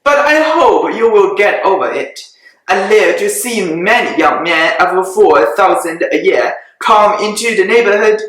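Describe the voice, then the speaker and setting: Sarcastic older father done by a reader, dry teasing, deep, slow, very echoey. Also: animated, fairly bright tone. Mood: wry. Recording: very echoey room.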